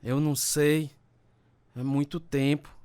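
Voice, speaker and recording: A man's voice: fairly high pitch, little pitch movement, slow pace, a little mellow, clean tone. An actor playing a troubled, bitter alcoholic man in a dramatized first-person radio story, speaking with a Brazilian accent and grave delivery. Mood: troubled, distressed. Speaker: narrator and protagonist of a radio testimony drama. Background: acoustic guitar music.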